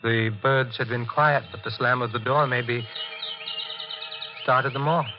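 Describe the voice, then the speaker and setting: An actor playing a guarded, brooding married man who narrates his own dark crime in an old radio thriller, evasive and haunted. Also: mid-range voice, fairly even intonation, measured pace, lightly acted. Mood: brooding, tense. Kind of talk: 1940s radio thriller acting, first-person narration. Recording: muffled old radio recording with music.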